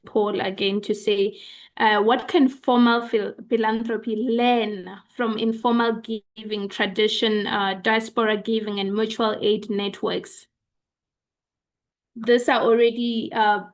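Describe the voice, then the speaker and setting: A woman moderating a panel discussion, framing thoughtful questions for the speakers with frequent fillers. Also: mid-range voice.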